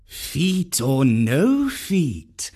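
A man voicing a huge male python, curt, slow drawl, distant odd timbre, storybook. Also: on the high side, huge pitch swings, dark tone, husky, exaggerated voice.

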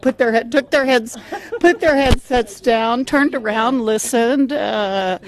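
A woman's voice: fairly high pitch, slow, a crowd in the background, low-quality recording. A woman hosting a radio podcast, moving between scripted narration and casual chat with playful asides.

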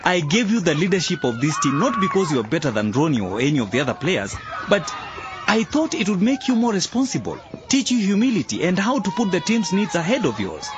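Noisy outdoor crowd behind, stern male football coach, forceful lecturing, wide swinging pitch, radio drama. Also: wildly swooping pitch, a little fast. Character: stern, authoritative male football coach scolding his team.

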